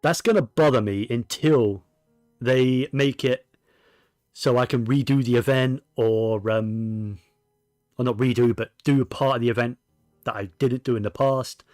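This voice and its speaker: A man's voice: mid-range voice, darkish tone, no echo, clean studio sound. A man giving casual, rambling gaming commentary, often correcting himself as he goes. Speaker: game streamer.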